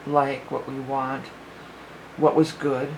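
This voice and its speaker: A woman's voice: extremely low pitch, little pitch movement, slow pace, slight background noise, low-quality recording. A woman giving a casual spoken astrology forecast as a psychic reader, rambling and thinking aloud with many fillers, her voice a little worn.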